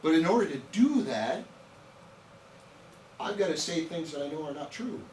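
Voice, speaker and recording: A man's voice: on the high side, expressive, moderate pace, a little mellow, a little hiss or hum. A man of about fifty giving an informal nutrition training to a live audience, chatty and joking, telling stories and throwing out rhetorical questions. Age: around 50. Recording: echoey room, voice picked up from a distance.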